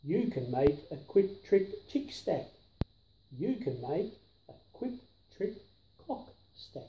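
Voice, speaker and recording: An older man reading a children's picture book aloud with deliberate, emphatic delivery of the rhymes, recorded in a living room. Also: high-pitched, very animated, dark tone, echoey room, a little hiss or hum, poor audio. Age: 60s or 70s.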